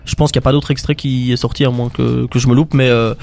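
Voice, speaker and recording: A man presents music news in a casual panel discussion. He talks very quickly at a medium pitch, yet keeps breaking the flow with filled pauses. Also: level tone, creaky, darkish tone.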